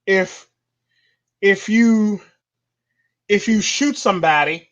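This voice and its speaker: A high-pitched man hosting a casual livestream chat. He is opinionated and talks in long, rambling runs, laughing easily. The audio is dull and lo-fi, like a remote call. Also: slow pace, a bit bright.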